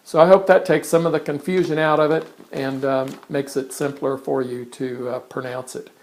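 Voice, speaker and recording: A man in his sixties with a gravelly, creaky voice talks plainly to the camera from his studio. His delivery is conversational and halting, full of restarts. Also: medium pitch, little pitch movement, darkish tone, a little hiss or hum. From born in England, raised in Kansas. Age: 60s. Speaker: artist introducing himself. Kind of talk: casual introductory monologue to camera. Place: his art studio in front of a blank canvas.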